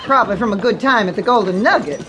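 An actress playing a feisty, bossy Old West storekeeper with a folksy American accent in a radio western. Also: female voice, medium pitch, lively intonation, quick pace, background noise, lightly acted. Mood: exasperated, scolding. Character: feisty, scolding Old West storekeeper and telegraph operator woman.

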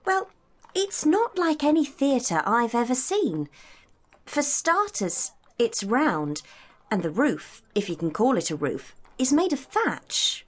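A woman's voice: a little high, big pitch swings, slow, heavily acted. A woman playing a curious, observant student in a scripted educational audio drama, speaking in an eager, inquisitive way. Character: curious, observant female student on a guided tour.

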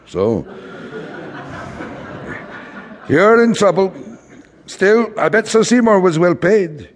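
A man's voice: sits high, very animated, very slow, full character voice. An actor playing a wistful, middle-aged immigrant father who philosophizes and repeats himself in an audio drama. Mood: wheedling, musing. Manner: rhetorical, repetitive, philosophizing. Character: wistful, rambling immigrant father, a frustrated commercial traveler. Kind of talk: theatrical audio drama acting. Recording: reverberant hall stage recording.

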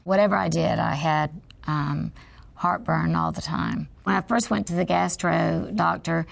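A woman with a raspy, deeply gravelly voice that sits a little low, recounting her experience as a patient in a personal testimony. She talks in a halting, reflective way, pausing mid-sentence, and the recording is close and dry with no room sound. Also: no room sound. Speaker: patient sharing her story.

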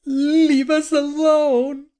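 A man's voice: high-pitched, moderate pace, mellow, voice pushed up, natural voice. A man voicing frightened young women on the run in a horror story, anxious and pleading. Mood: terrified pleading.

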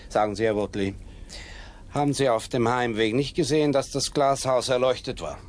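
An actor playing a terse, probing police sergeant in a radio drama, with dry questioning. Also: male voice, mid-range voice, moderate pace, natural voice. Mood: matter-of-fact, probing. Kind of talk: German radio drama acting.

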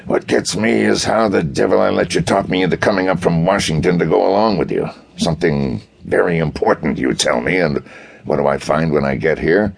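A man voicing a gruff, exasperated federal police chief, blunt and grumbling, with a gravelly voice, in a pulp detective audiobook. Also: deep voice, fairly quick, voice pushed up, full character voice. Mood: sour, exasperated.

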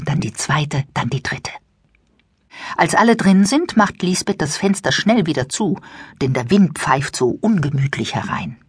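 A woman narrating a children's audiobook with expressive, scripted storytelling. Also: low voice, slightly rough, no room sound, studio quality.

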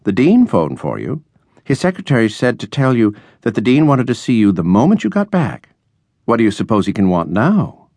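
A man voicing a gabby, falsely bright middle-aged landlady in a storybook reading. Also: mid-range voice, huge pitch swings, moderate pace, no room sound, pitched above the performer's own voice. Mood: falsely sympathetic. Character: chatty, gushing middle-aged landlady and proud mother.

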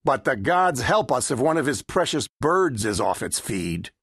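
An actor playing a gruff, irreverent sea captain with rustic, colloquial speech and a deadpan wit, in an audiobook. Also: male voice, mid-range voice, normal speed, no echo, full character voice. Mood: dry teasing. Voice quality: gravelly, husky. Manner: rustic, colloquial grammar, dry teasing. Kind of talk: full-cast audiobook character acting.

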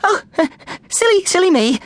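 A woman voicing an excitable, gushing lady wolf librarian in a children's storybook. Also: high-pitched, normal speed, no room sound, pitched above the performer's own voice, heavily acted. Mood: nervous, giggly. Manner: gushing, giggly, emphatic.

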